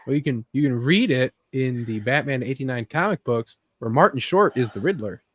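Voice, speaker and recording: A man's voice: mid-range voice, expressive, a little fast, fairly bright tone. A man talking informally and bluntly with friends in a casual discussion. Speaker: podcast panelist.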